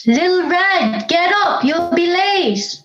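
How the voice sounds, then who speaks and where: A woman playing a brisk, impatient, nagging mother in an audio drama. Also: very high voice, expressive, echoey room, dull audio, heavily acted. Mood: nagging, calling.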